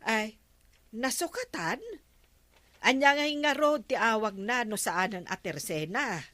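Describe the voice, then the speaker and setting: Slow-talking gossipy village woman. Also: on the high side, sharp tone, dry recording. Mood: gossipy, surprised. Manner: exclamatory, drawn-out gossiping. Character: gossipy village woman, nosy comedic neighbour. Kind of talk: comic radio drama acting.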